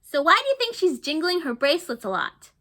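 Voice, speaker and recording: Bright high-voiced woman reading a children's story aloud. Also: wildly swooping pitch, brisk. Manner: chatty, warm asides to young listeners, often repeating herself. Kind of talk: children's read-aloud with questions to listeners.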